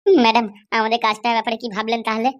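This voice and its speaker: A woman as a rapid-fire scheming cartoon henchwoman. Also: a little high, flat delivery, dull audio, lightly acted. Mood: eager, scheming. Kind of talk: comedy cartoon dialogue.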